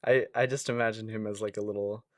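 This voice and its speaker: A man's voice: lowish voice, fairly even intonation, fast talker, dry recording. Man chatting casually, dry close sound. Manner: informal, playful, prompting with questions. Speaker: podcast co-host. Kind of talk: casual podcast chat.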